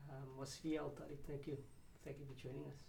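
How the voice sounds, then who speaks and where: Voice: mid-range voice, little pitch movement, fast talker, gravelly, mellow, a little hiss or hum. A podcast host opening the show and asking questions in a hesitant way, often restarting mid-sentence. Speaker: interview host. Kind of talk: casual interview hosting. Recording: roomy, distant mic.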